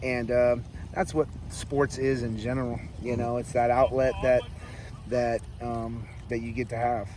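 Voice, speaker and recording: A man of about forty, a high school coach, giving casual interview answers. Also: little pitch movement, unhurried, noisy background. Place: outdoors by a sports field. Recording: outdoor field recording with background voices.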